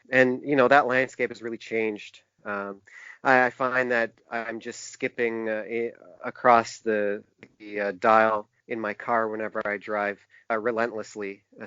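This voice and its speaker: A man being interviewed as a guest, talking casually in a thoughtful, halting way and explaining things in detail. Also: fairly low pitch, fairly bright tone, clean tone, no echo. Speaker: podcast guest, author and indie publisher. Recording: dull, dry lo-fi podcast audio.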